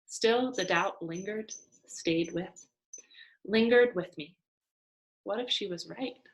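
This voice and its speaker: A woman reading a children's novel aloud as an expressive storyteller. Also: mid-range voice, slow, poor audio. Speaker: storybook reader.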